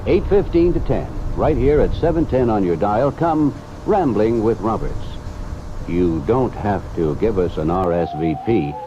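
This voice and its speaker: A man's voice: on the low side, expressive, unhurried, background noise. A man reading a folksy, chatty promo for his weekend radio show. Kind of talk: station promo announcement. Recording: dull vintage AM radio recording with music.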